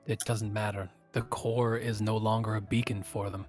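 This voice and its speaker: A man's voice: on the low side, flat delivery, moderate pace, faint music behind, close to an everyday voice. A man playing a curt, cryptic woman warrior who speaks in terse, solemn corrections in a tabletop game. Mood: flat, certain. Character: curt, cryptic sword-bearing woman guiding adventurers.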